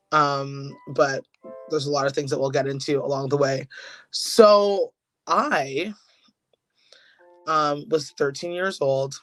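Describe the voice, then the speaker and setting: A woman's voice: sits low, fairly bright tone. A woman starting a funny story on a video call, chatty and a bit nervous, laughing through her words. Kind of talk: casual comic storytelling.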